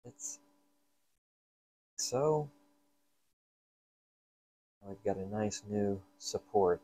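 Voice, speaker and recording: A man's voice: lowish voice, very slow, warm tone. A man giving casual hands-on repair narration, half talking to himself in fragments as he works in an indoor workshop. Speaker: hobbyist repair host.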